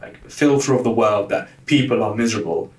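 A man's voice: on the low side, animated, brisk. A young man who stutters, talking casually as a guest in an interview, with frequent repeated words. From lives in London. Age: young adult. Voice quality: stutter, frequent word repetitions. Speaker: guest, life coach. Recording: roomy, distant from the mic.

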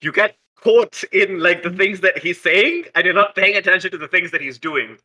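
Bright, forceful tabletop roleplayer. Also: female voice, lowish voice, moderate pace. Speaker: player in a tabletop roleplaying game. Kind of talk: casual game table talk with in-character speech.

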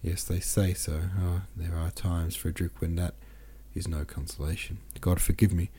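A man's voice: deep voice, level tone, moderate pace, mellow, breathy edge. A man reading the lines of an emotional, outspoken older woman in a storybook, lightly acted in his own voice. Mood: doubting.

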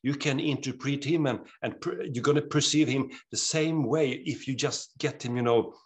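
A middle-aged man with a Swedish accent, an author talking in an interview, earnest and animated, often restarting his sentences. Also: mid-range voice, moderate pace. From Sweden. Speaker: author guest. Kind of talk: interview conversation.

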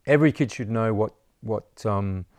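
A man's voice: lowish voice, moderate pace, a little mellow, no room sound. A man, an herbalist teacher chatting casually in an interview, rambling and saying "you know" often.

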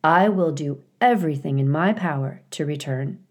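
A woman voicing a female robot mother in a storybook, calm, earnest and tenderly devoted, with some sadness. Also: deep voice, moderate pace. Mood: tender, resolute.

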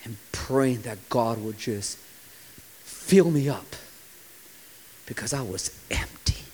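A man in his forties, a pastor preaching a sermon with impassioned delivery that sometimes drops to a hushed, quiet tone. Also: mid-range voice, slow, husky, rough recording. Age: 40s.

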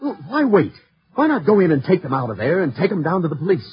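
A nervous, excitable amateur sidekick in a 1950s radio detective show. He is boyish and halting, with a very rough, raspy voice and jumpy intonation. The vintage recording is dull. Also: sits high, full character voice. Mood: eager, flustered. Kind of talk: 1950s radio drama acting.

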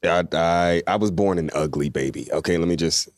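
Man, deadpan self-mocking humor, lowish voice, dry sound. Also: normal speed, no room sound. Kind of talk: casual chat.

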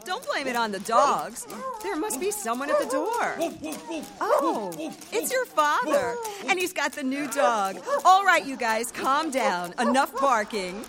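A woman playing a wry, gently exasperated mother of teenagers in a live audio drama, teasing and expressive. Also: high voice, a little slow, a loud crowd.

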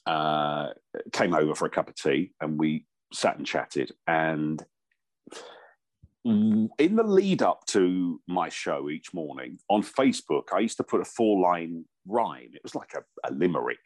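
Middle-aged male voiceover pro, croaky timbre, very low and lively, dry close sound. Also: no room sound. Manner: relaxed anecdotal storytelling with pauses. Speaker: radio presenter and voiceover artist. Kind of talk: casual chat, telling a story.

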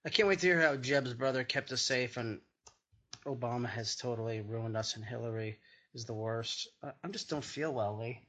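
A man's voice: mid-range voice, moderate pace, fairly bright tone. A man co-hosting a podcast, dry and sardonic as he mocks a debate. He sounds worn out by it all. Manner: sardonic, weary. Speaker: podcast co-host. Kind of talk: crude live commentary on a debate.

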